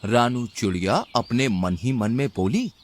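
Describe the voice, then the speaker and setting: A man's voice: medium pitch, lively intonation, unhurried. A man narrating a scripted children's story.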